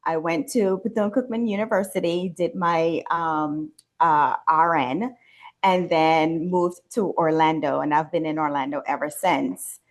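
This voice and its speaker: A woman's voice: low voice, fairly even intonation, measured pace. A woman in her forties from the Bahamas, telling her own story conversationally as an interview guest. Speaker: interview guest, wellness business owner and nurse.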